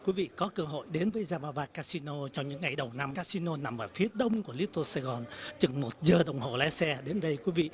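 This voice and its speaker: Fast-talking TV reporter man. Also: a little high, lively intonation. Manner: formal, addresses viewers politely. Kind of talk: news report to camera.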